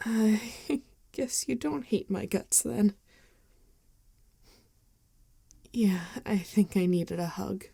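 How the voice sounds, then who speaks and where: A woman playing a caring, apologetic female vampire friend in an intimate ASMR roleplay, speaking gently and hesitantly. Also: mid-range voice, gravelly, no echo, clean studio sound. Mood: shy, relieved. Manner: hesitant, gentle, halting with soft sighs. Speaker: ASMR voice actor.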